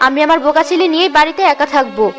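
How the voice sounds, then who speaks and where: A stern cartoon mother of grown sons acted by a woman, speaking in a high voice. She talks fast and bluntly, with a scolding, sarcastic edge. Music plays behind her. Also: animated, a bit bright. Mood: bitter, angry. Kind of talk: Bengali cartoon fairy tale acting.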